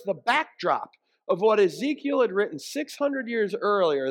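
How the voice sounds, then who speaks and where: High-pitched man preaching a conversational sermon. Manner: conversational, colloquial phrasing. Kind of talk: sermon with scripture reading.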